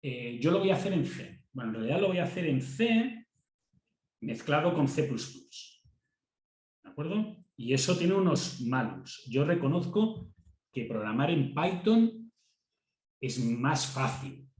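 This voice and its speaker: A man's voice: on the high side, sing-song, a little slow, darkish tone, reverberant. A man with a Castilian Spanish accent giving a university class, talking conversationally, repeating words as he thinks and checking in with students. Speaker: university lecturer. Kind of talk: classroom lecture.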